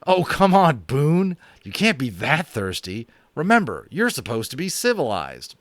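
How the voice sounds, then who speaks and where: A man voicing an exasperated young boy with a sharp, scolding way of talking. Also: a little high, wildly swooping pitch, a little slow, no room sound, voice pushed up. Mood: coaxing. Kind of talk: audiobook character voice.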